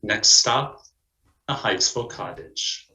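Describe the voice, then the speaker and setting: A man giving scripted dramatic narration in a deliberate, theatrical manner. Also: medium pitch, wildly swooping pitch. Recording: echoey room, faint noise.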